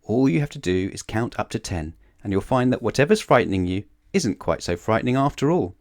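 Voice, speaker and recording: A man voicing a kindly tramp in a storybook, gentle and reassuring in manner. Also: on the low side, big pitch swings, dry recording, clean studio sound. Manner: gentle, reassuring, unhurried advice.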